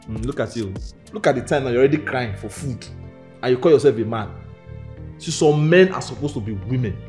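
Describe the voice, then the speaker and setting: A man plays a mocking, scolding Nigerian friend in a comedy film. His mid-pitched voice leaps around as he lectures, often tripping over repeated words. Faint music sits behind him. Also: darkish tone, natural voice. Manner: emphatic, stammering repeats, lecturing. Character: scornful, scolding male housemate mocking his lazy friend. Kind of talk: comic film acting.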